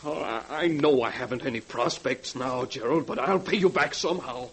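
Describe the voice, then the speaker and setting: A man's voice: mid-range voice, normal speed, husky, low-quality recording. An actor playing an anxious, desperate ruined businessman, urgent and earnest, in 1940s radio drama style. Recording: vintage 1940s radio recording.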